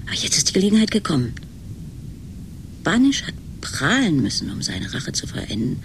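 Urgent, pleading woman with swooping low voice. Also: wildly swooping pitch, a little fast, exaggerated voice. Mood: hushed pleading. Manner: intense, emphatic, often dropping to breathy whispers. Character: worried, urgently pleading woman, partner of chess player. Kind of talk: German radio drama acting. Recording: noisy 1980s radio drama recording.

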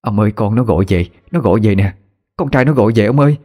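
A man voicing a frantic middle-aged mother who pleads in a high, wailing voice. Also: quick pace, a little mellow, natural voice. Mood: urgent.

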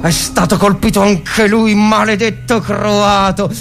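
A man's voice: high voice, steady tone, slow, heavy background noise. A man giving a dramatic, theatrical reading of a war story, telling it with suspense in the present tense. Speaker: actor reading a short story.

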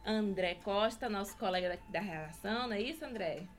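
A woman's voice: medium pitch, brisk, faint music behind. A woman reporter hosting a podcast, introducing guests and asking them questions.

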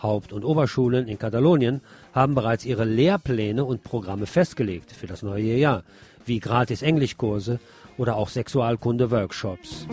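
A man presents scripted news on the radio in a deliberate, emphatic manner. His voice sits at a medium pitch, with wry commentary worked into the reading. Faint guitar music plays behind him. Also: darkish tone.

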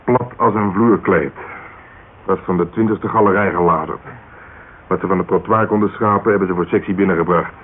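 Actor as a deep-voiced, cynical police detective. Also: male voice, fairly quick, close to an everyday voice. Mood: matter-of-fact. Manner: wry, colloquial, offhand. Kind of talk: Dutch radio drama dialogue. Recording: dull, hissy old radio recording.

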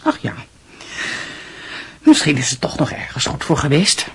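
An actress playing a meddling middle-aged aunt, breathy and hesitant, earnestly reproachful in a radio drama. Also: female voice, low voice, sing-song, normal speed, heavily acted. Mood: confiding, persuasive. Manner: hesitant, moralising, insinuating.

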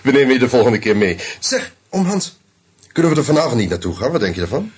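A young actor accused of murder in a radio drama. His husky voice moves lively in pitch, but his replies stay short and cool. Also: fast talker. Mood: guarded, cool. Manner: terse, guarded, clipped. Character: young actor under suspicion, coolly defiant. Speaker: Jules.

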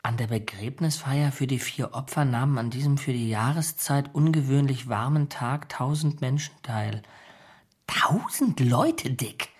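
A man's voice: mid-range voice, expressive, measured pace, slightly rough. An actor playing a brooding, anxious, dreamy male drifter in a radio drama, speaking in an earnest, introspective way. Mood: marvelling.

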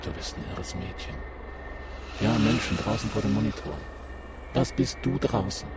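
A man's voice: fairly low pitch, lively intonation, normal speed, very noisy, natural voice. An actor playing a cool, flirtatious male gamer in a radio drama, with casual, slangy delivery. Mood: flirtatious, insistent. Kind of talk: scripted radio drama acting. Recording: music behind the voice.

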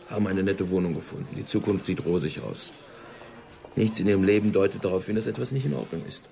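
Casting: dogged detective, radio play, lowish gravelly voice, measured and reflective. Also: male voice, mellow. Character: brooding, persistent male police investigator. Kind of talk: German radio drama acting.

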